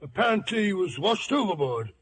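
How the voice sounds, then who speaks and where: Highish-voiced gentleman squire. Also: big pitch swings, brisk. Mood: distressed. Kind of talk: 1950s adventure film acting.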